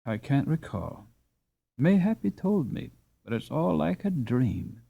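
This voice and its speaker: Man reading as a halting, dazed injured husband, animated pitch. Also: creaky, husky, dull audio, heavily acted. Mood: troubled recollection. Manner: halting, groping for words. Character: injured, dazed Dutch husband straining to remember. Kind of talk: audiobook character dialogue.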